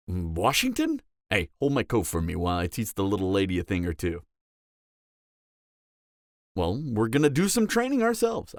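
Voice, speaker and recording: Cocky flirty man playing a vampire mentor, animated delivery. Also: lowish voice, no echo, clean studio sound, heavily acted. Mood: scornful, cocky. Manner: teasing, lecturing, sardonic. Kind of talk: dramatic audio roleplay acting.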